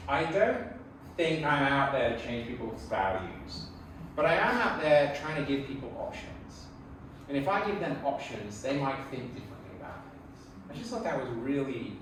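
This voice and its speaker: A man's voice: medium pitch, far from the mic. A middle-aged man from England with an English accent gives a conversational slide lecture in an informal, reflective manner. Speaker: speaker giving a public talk. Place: indoor talk venue before an audience. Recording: echoey room from afar, faint noise.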